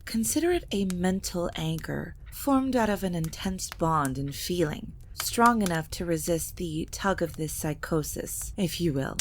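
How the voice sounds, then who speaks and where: An ancient vampire matriarch, played by a woman in an audio drama, with a fairly low voice. She speaks formally and gravely, measuring each phrase like a scholarly schemer. There is clear noise behind her. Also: animated, measured pace, hardly any pauses. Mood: calculating. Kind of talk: scripted audio drama acting.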